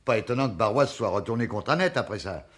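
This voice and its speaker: A man's voice: fairly low pitch, expressive, quick pace, natural voice. A man playing a persuasive, insistent sleuth in a French radio mystery, reasoning emphatically. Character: insistent, persuasive amateur sleuth pressing the police.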